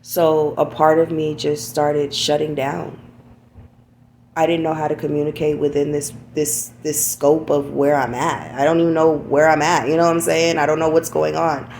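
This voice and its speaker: Low, gravelly woman speaking candidly. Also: level tone, moderate pace, darkish tone, a little hiss or hum. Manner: candid, repeats "you know what I'm saying". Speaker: podcast host. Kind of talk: reflective personal monologue.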